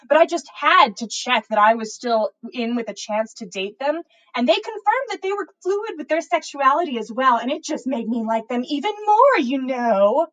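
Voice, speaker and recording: A woman's voice: high-pitched, voice pushed up, rough recording. A woman voicing a boastful, boy-crazy teenage witch schoolgirl who gushes and stretches out her words for emphasis in a story reading. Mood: gushing, swooning.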